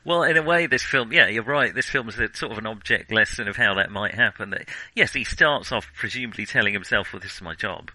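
A man's voice: on the low side, fairly quick, hardly any pauses, sharp tone, no room sound, rough recording. A man co-hosting a casual film discussion, analytical and feeling his way through his points. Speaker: podcast co-host.

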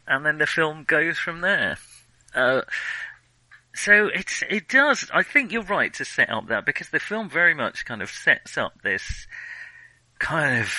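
A man co-hosting a film podcast, talking casually and thinking aloud with frequent hesitant pauses. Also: medium pitch, sharp tone, no room sound, rough recording. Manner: hesitant, thinking aloud, pausing often mid-sentence.

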